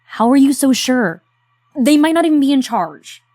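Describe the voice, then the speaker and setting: A woman's voice: high voice, animated, normal speed, warm tone, no room sound, studio quality. A woman in an audio drama, questioning her partner's conclusions with skepticism. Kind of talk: scripted audio drama dialogue.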